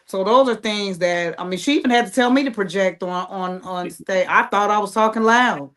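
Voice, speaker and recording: A woman's voice: medium pitch, normal speed, a bit bright. Casual American woman chatting as a guest. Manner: informal, conversational. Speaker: playwright and creator, interview guest. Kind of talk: casual panel chat.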